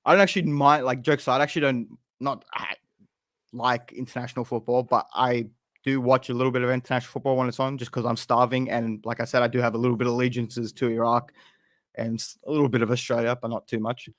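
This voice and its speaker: A man's voice: medium pitch, flat delivery, quick pace, dry recording, clean studio sound. A man hosting a casual football podcast with his co-hosts, talking loosely and restarting his sentences. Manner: rambling, restarts a lot. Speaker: podcast host.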